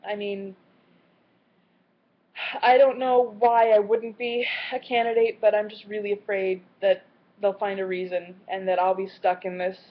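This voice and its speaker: A woman in her late twenties talking in a personal video diary, earnest and candid, with a halting way of speaking. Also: mid-range voice, level tone. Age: late 20s. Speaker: vlogger. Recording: slightly muffled lo-fi audio.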